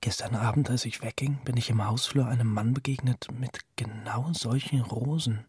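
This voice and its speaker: A man's voice: medium pitch, normal speed, darkish tone, breathy edge, dry recording. Intense man in a radio drama. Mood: hesitant. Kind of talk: German radio play acting.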